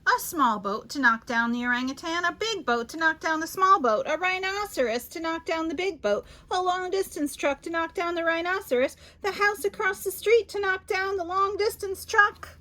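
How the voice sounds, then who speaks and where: A woman reading children's picture books aloud as a storyteller, theatrical and expressive with dramatic pauses and emphasis. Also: very high-pitched.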